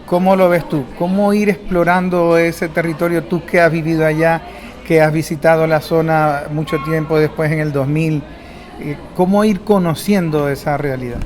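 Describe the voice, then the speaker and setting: A male interviewer with a moderately high voice. He frames his questions in a measured, inquiring way. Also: steady tone, a little mellow, soft background music.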